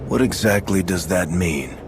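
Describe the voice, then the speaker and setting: A man's voice: low-pitched, animated, moderate pace, a little hiss or hum. A man playing a principled military leader in a video game cutscene, direct and serious. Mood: firm. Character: principled male military leader, firm but caring. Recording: loud music behind. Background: music.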